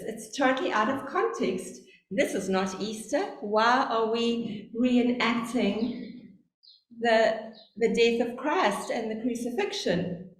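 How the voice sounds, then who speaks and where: Brief: middle-aged South African woman, lay preacher, slow and earnest storyteller, higher voice, big echoing church. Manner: earnest, storytelling, speaks slowly on purpose. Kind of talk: sermon. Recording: very echoey church, faint hum.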